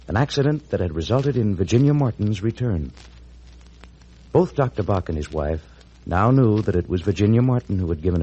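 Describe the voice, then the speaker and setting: Expressive, husky American radio announcer man. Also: low voice, highs cut off. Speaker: announcer and narrator. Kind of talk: 1940s radio scripted narration. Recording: old 1940s radio recording.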